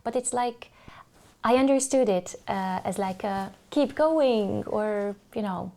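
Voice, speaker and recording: Hungarian woman with a gravelly voice. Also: mid-range voice, slow pace, mellow. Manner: thoughtful, hesitant with many fillers and restarts. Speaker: podcast guest. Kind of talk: casual interview chat.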